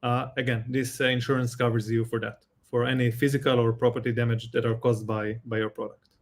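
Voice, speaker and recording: A man's voice: mid-range voice, level tone. Israeli-accented man explaining insurance. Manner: measured, with many fillers and restarts. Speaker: insurance expert guest. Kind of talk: explaining in a live Q&A.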